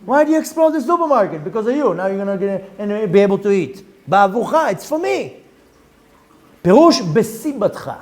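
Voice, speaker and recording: A middle-aged man with an Israeli accent teaching a Torah lesson, reading Hebrew text quickly and explaining it in English with lively rhetorical questions. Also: sits high. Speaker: rabbi teaching Zohar.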